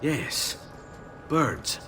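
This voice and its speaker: An actor with an Italian accent playing a bold, wry young Renaissance adventurer in a video game cutscene. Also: male voice, mid-range voice, sing-song, very slow, noisy background. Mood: confident. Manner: confident, wry. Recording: echoey hall, distant, under music.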